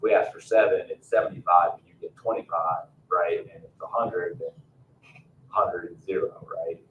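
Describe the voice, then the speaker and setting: A man's voice: low voice, fairly even intonation, normal speed. A man explaining procedure as an official at a public meeting, informally and with worked examples. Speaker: town official advising a commission. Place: meeting room, picked up from a distance. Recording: roomy, distant, muffled meeting recording with hiss.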